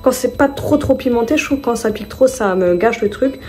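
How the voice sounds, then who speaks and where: A woman's voice: a little high, expressive, fairly quick, darkish tone, soft background music. A woman vlogging casually at home, chatting and rambling through her shopping haul, often stopping to correct herself and laughing at her own slips.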